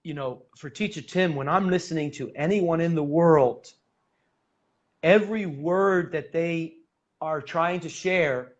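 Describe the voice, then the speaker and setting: A man who teaches English hosts the chat, telling stories in a quick, animated way with many fillers. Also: a little high. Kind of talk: casual storytelling chat.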